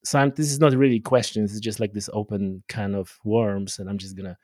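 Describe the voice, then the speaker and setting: A man's voice: fairly low pitch, fairly even intonation, fairly quick, a little mellow, no room sound, clean studio sound. A man hosting a podcast interview, talking casually and rambling with many fillers as he thinks aloud. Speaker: podcast host.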